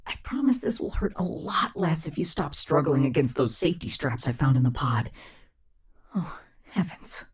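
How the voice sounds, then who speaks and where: Sweetly obsessive killer robot captor, played by a woman: soft, very breathy, lowish, coaxing and fussing, ASMR roleplay. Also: lively intonation, normal speed, exaggerated voice. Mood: worried, coaxing. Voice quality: breathy, often slipping into whisper. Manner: coaxing, falsely cheerful, fussing.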